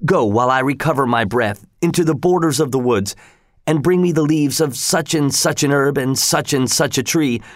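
A man's voice: mid-range voice, normal speed, dry recording, clean studio sound, pitched above the performer's own voice, close to an everyday voice. Guy reading as stern old island wizard, serious and bossy, mid-range voice. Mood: urgent. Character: old Hawaiian wizard, grave and commanding.

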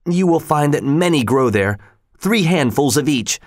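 A man voicing an old Hawaiian wizard, grave and commanding, with a solemn, deliberate delivery. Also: on the high side, lively intonation, dry recording, studio quality, pitched above the performer's own voice. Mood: urgent.

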